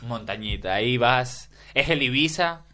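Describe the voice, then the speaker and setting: A man's voice: medium pitch, lively intonation, a little slow, sharp tone. A young man from Ecuador with an Ecuadorian accent, chatting casually as an interview guest, enthusiastic and often restarting his sentences.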